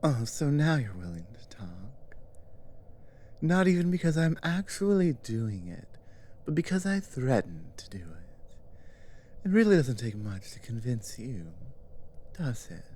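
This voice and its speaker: Woman acting a slow, commanding giantess. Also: a bass voice, warm tone, slight background noise, heavily acted. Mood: smug interrogating. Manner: commanding, deliberate, taunting, with pauses and breathy drops. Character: powerful, domineering giantess, menacing and coolly impatient captor. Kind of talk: dramatic ASMR roleplay.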